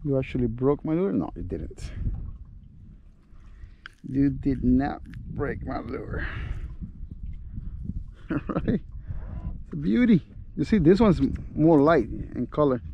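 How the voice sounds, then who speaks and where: A man filming himself fishing from a small boat in a mangrove bay, talking excitedly and laughing, chatting to the fish as he reels it in. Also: mid-range voice, takes it slowly, vocal fry, pauses often, lo-fi sound, a little hiss or hum. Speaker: fishing vlogger. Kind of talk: casual play-by-play while fishing.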